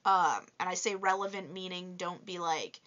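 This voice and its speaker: A woman in her twenties with a low voice, chatting casually and upbeat in a vlog, with many fillers. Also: normal speed, a bit bright, lo-fi sound. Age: 20s. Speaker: toy reviewer and vlogger. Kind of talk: casual vlog answering viewer questions. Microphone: voice-over with face unseen.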